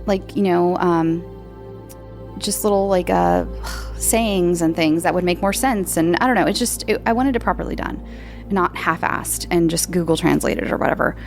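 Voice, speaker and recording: A woman's voice: fairly low pitch, moderate pace, soft background music. A woman hosting a podcast, answering listener questions in a casual, rambling chat full of fillers and restarts. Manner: chatty, meandering, many fillers and restarts.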